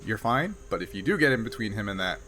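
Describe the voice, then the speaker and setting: Guy game master, bright forceful voice, kinda halting improvised narration, faint noise behind. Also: lowish voice, animated. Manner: halting, conversational.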